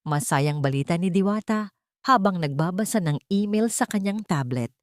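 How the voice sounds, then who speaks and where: A woman's voice: fairly low pitch, expressive, normal speed, dry recording, clean studio sound. A woman narrating a scripted romantic story in a dramatic, sentimental way.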